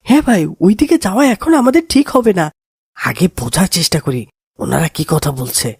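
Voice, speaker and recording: A woman playing an excitable, naive young boy in a cartoon. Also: fairly low pitch, normal speed, breathy edge, no room sound, clean studio sound, full character voice. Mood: worried, fearful. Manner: animated, naive. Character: excitable, timid young boy, treasure-dreaming friend. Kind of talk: cartoon voice acting.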